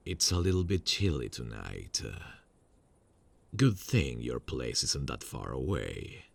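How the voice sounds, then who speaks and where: A young man playing a friendly male college student who is secretly a serial killer, speaking softly and hesitantly in an ASMR roleplay, with many fillers and quiet insinuations. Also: a bass voice, very animated, slow, creaky, slight background noise, heavily acted. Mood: falsely friendly.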